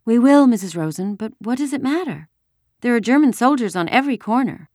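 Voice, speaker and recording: A woman's voice: a little high, animated, a little fast, darkish tone, no echo, clean studio sound, voice pushed up, lightly acted. A woman voicing a sensible schoolgirl, an older sister in a storybook, who explains and reassures. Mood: reassuring, questioning.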